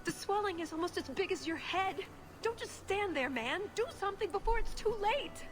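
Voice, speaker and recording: Mischievous game heroine, high and very animated, eager, easily exasperated, playful. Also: female voice, normal speed. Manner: expressive, playful. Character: eager, mischievous young woman schemer, chirpy and exasperated. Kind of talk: video game voice acting.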